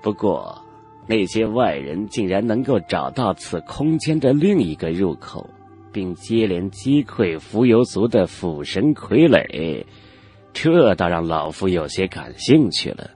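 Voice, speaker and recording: A man voicing an old male cultivator elder in a fantasy audiobook, haughty and knowing, with measured, condescending, old-fashioned speech. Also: low voice, sing-song, darkish tone, breathy edge, soft background music, voice pushed down, heavily acted. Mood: aloof, musing. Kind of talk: Chinese fantasy audiobook character acting.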